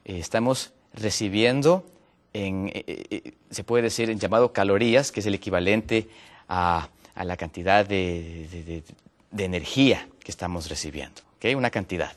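Male guest from Ecuador with a lowish voice, hesitant and filler-heavy, pitch rising when he makes points. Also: moderate pace. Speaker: guest, natural medicine health counselor. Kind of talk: explaining on a TV talk show.

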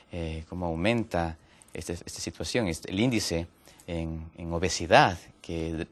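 A man from Ecuador speaks as a guest on a TV health show. His voice sits on the low side, and he explains slowly through many fillers and restarts. His pitch climbs when he drives a point home. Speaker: guest, natural medicine health counselor.

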